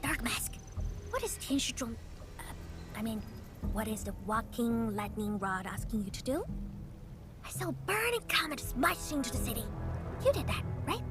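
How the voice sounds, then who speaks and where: A woman's voice: fairly high pitch, expressive, normal speed, pauses often, close to an everyday voice. A woman voicing a brash, feisty young feline girl in a video game, cheeky and blustering. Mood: curious, teasing. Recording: loud game music behind the voice.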